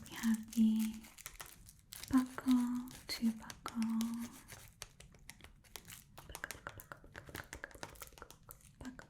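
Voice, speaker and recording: A woman voicing a chatty, haggling goblin pawn shop keeper in a soft whispered ASMR roleplay, muttering and repeating words to herself. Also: a little high, natural voice.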